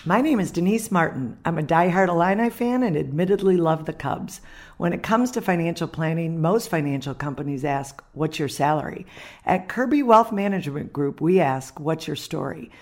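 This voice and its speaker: A woman's voice: sits low, animated, normal speed, a little mellow. A woman delivering a scripted radio advertisement as a financial advisor.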